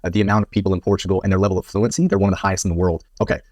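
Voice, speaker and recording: A man co-hosting a discussion video, explaining points in a quick, confident way. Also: low voice, flat delivery, darkish tone, no echo, clean studio sound. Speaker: video co-host. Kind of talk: conversational discussion.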